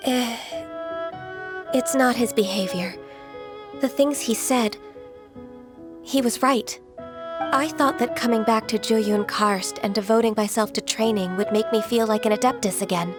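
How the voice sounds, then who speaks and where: A woman voicing a gentle young female immortal adept in a video game, polite, formal and a little hesitant. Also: a little high, steady tone, measured pace, long pauses, lightly acted. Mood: troubled, hesitant. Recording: music behind the voice.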